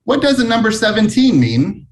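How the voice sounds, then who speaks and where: Man reading as an eager, animated schoolkid. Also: high-pitched, normal speed, a little hiss or hum, voice pushed up. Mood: curious. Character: earnest elementary school children at election.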